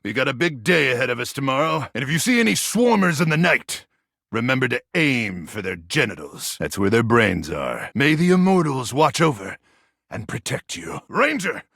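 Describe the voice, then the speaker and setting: Gravelly, wildly animated gung-ho soldier. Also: male voice, medium pitch, normal speed, dry recording, clean studio sound, exaggerated voice. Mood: deflated, offhand, then irritated. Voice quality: gravelly, raspy. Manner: bombastic, dramatic mission-briefing bravado with deadpan asides. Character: gung-ho, cocky, goofy male post-apocalyptic soldier, bossy and macho. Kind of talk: exaggerated video game character acting.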